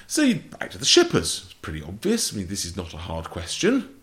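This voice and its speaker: A man's voice: low voice, wildly swooping pitch, fairly quick, fairly bright tone. An English man, an author on port wine, answering interview questions in precise, clipped phrases. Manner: precise, explanatory, lists facts in short clipped phrases.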